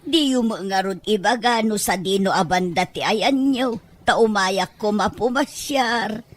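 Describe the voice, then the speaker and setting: A woman's voice: mid-range voice, a little slow, lightly acted. A woman playing a stubborn, doting, plaintive grandmother with an Ilocano Filipino accent in an amateur audio drama. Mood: pleading.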